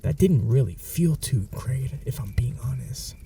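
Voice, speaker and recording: A man voicing a smug, teasing male vampire in an ASMR audio roleplay, talking in a soft half-whisper that slides into mockery. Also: medium pitch, expressive, unhurried, vocal fry, far from the mic, a little hiss or hum. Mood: cold.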